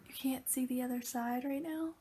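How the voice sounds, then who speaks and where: A woman's voice: high voice, fairly even intonation, normal speed, dark tone, a little hiss or hum. A young woman in her twenties, congested, chatting casually and loosely to camera in a small bedroom, swearing freely. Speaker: vlogger.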